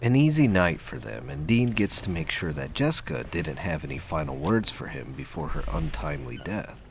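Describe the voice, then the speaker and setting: A man's voice: a bass voice, very animated, moderate pace, a little hiss or hum. A man reading scripted narration for a science fiction audio drama.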